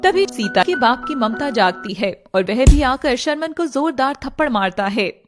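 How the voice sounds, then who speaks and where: High-pitched woman narrating a dramatic story. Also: lively intonation, moderate pace, over music.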